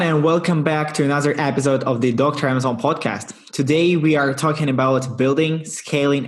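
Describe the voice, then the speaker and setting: A man hosting an interview podcast, speaking English as a second language with halting, non-native phrasing. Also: fairly high pitch. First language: not English. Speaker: podcast host.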